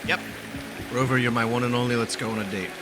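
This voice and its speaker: Slangy joking male gamer over loud music. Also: medium pitch, steady tone, fast, rough recording. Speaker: gaming streamer. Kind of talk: casual playthrough commentary. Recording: loud game music behind. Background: video game music.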